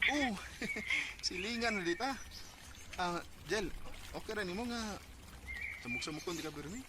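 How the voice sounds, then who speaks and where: A man's voice: high-pitched, lively intonation, moderate pace. A man with a Bisaya accent playing an eager, lovestruck young rural student son in a radio drama. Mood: tender, smitten. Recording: very noisy radio drama recording.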